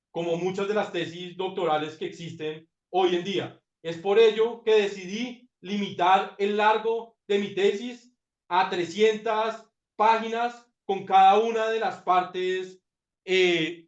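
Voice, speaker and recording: A man presenting his doctoral thesis in a master class, talking reflectively with frequent fillers and repeated words. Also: high voice. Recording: roomy, dull lo-fi sound.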